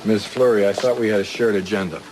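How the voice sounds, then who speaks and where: A man acting as a serious police detective in a crime drama, with a slightly low voice. He speaks in clipped, matter-of-fact phrases, with music behind him. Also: fairly even intonation, fast, lightly acted, rough recording. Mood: dry. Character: serious, terse male police detective, narrating his case. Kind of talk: TV crime drama dialogue and voice-over.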